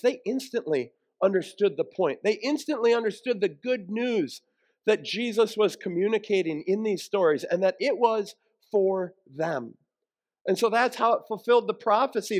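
A man preaching a sermon as a pastor, explaining things in a plain-spoken, conversational way. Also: sits high.

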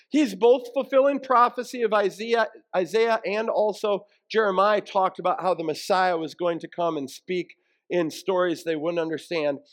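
A man preaching a sermon as a pastor, explaining things in a plain-spoken, conversational way. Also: high-pitched.

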